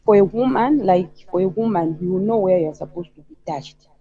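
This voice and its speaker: Female call-in guest, Zambian accent, soft-edged mellow tone, hesitant phrasing, lo-fi line. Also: fairly low pitch, expressive, moderate pace. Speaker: caller on a live talk show. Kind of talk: conversational opinion and personal account. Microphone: remote call connection. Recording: slightly dull lo-fi call audio.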